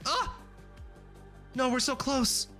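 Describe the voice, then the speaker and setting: A young man streaming himself coding, chatting casually with viewers, swearing and getting excited as he works. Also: extremely high pitch, slow pace. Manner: excitable, swearing, talks to chat while coding. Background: faint music.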